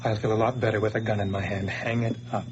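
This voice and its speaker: A man's voice: on the low side, level tone, quick pace, dull audio, background noise, close to an everyday voice. An actor playing a cold, vengeful man who holds an old friend at gunpoint in a radio play, speaking in clipped, menacing lines. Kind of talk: 1980s radio drama acting.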